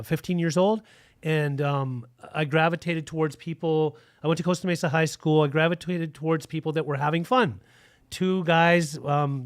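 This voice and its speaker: A man who was born in Germany and raised in Utah, telling his recovery story on a video call with an earnest, halting delivery. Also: on the high side. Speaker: guest sharing recovery story. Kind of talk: personal testimony.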